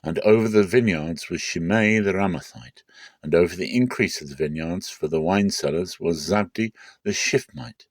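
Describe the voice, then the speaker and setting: Man, deep voice, scripture narrator, measured dramatic delivery, close dry sound. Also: dry recording. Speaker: narrator of a Bible reading.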